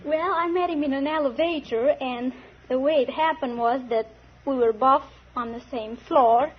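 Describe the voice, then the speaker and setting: A woman from Egypt, a quiz show contestant, telling a story in a giggly, unhurried way. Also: high voice. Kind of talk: casual storytelling to a host. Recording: muffled 1950s broadcast with hiss.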